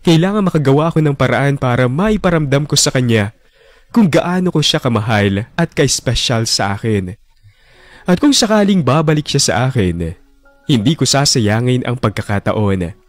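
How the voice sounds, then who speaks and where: A man's voice: mid-range voice, animated, normal speed, no room sound. A man narrating a heartbroken first-person love story in a radio drama. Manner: brooding, heartbroken confession. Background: soft music bed.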